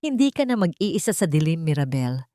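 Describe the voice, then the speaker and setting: A woman's voice: low-pitched, big pitch swings, a little fast, no room sound, clean studio sound. Woman voicing a tender, protective young Filipino man. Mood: solemn promise. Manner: gentle, earnest, reassuring. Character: tender, protective young male lawyer comforting his beloved.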